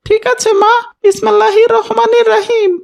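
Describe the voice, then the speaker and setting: A woman acts out a little village boy from a cartoon, extremely high in pitch and fairly flat in melody. The child sounds eager, earnest and obedient, with a creaky edge to the tiny voice. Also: moderate pace, full character voice. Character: obedient, eager young village boy talking to his parents. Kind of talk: cartoon voice acting.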